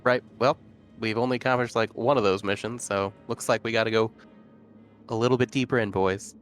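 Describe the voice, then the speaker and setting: A man's voice: lowish voice, fairly even intonation, fairly quick, soft background music. A man talking casually as a player in a tabletop roleplaying game.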